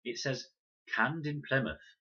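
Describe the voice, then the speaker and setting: Man reading as curious boy adventurer, earnest, eager, storybook dialogue, bright delivery, dull recording. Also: mid-range voice, lively intonation, slow pace, husky, voice pushed up, full character voice. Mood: reasoning.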